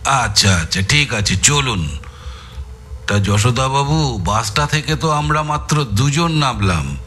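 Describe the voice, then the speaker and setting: Guy playing an inquisitive detective man, really unhurried, sharp forceful voice, audio drama, bit of music behind. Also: mid-range voice, animated. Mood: inquisitive, calm. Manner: measured, questioning. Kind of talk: Bengali audio story dialogue.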